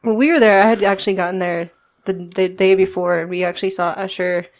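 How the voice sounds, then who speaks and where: A woman's voice: mid-range voice, fairly even intonation, brisk. A woman on a casual chat show, chatty and laughing easily as she tells a story. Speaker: podcast co-host.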